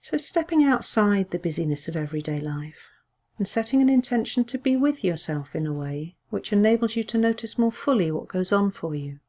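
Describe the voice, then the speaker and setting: A woman leading a guided body scan meditation as a mindfulness teacher, speaking gently and in a measured, soothing way with pauses. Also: mid-range voice, animated.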